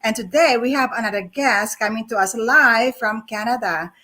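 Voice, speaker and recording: A woman in her fifties hosting an online interview show, speaking in a deliberate, welcoming way with many fillers. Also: a little high, bright, forceful tone. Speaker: online talk show host.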